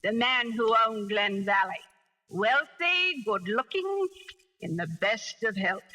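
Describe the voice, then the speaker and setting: A woman's voice: a little high, animated, slow pace, bright, forceful tone, full character voice. A woman playing a gossipy, worldly society lady in a 1940s radio drama, emphatic and theatrical. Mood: puzzled, emphatic.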